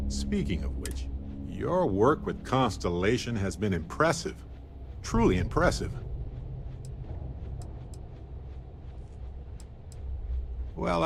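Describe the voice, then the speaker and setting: An actor playing an amiable, earnest space explorer companion in a video game, speaking conversationally and sincerely. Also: male voice, on the low side, big pitch swings, normal speed, gravelly, a little hiss or hum. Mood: friendly.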